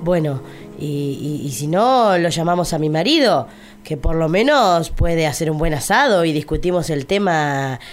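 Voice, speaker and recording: A woman's voice: deep voice, expressive, normal speed, faint music behind. A woman voicing a pompous, boastful opposition politician and doting mother, scornful and declamatory, with an Argentine accent. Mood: smug. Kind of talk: political satire radio sketch.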